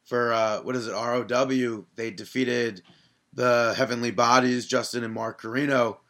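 A man's voice: mid-range voice, flat delivery, moderate pace, fairly bright tone. A young man co-hosting a casual wrestling podcast, teasing his partner and chatting with short reactions and many fillers. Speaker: podcast co-host.

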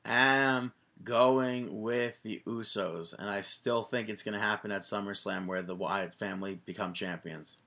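Man with a sharp, bright voice, playful ribbing co-host on a casual podcast. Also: on the low side, steady tone, unhurried, gravelly, few pauses. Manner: teasing, playful. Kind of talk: casual wrestling podcast chat.

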